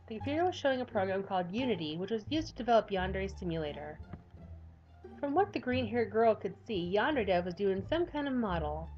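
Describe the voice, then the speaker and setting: Animated woman reading a visual novel. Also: mid-range voice, highs cut off. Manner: lively, animated reading with nervous asides. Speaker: let's play host.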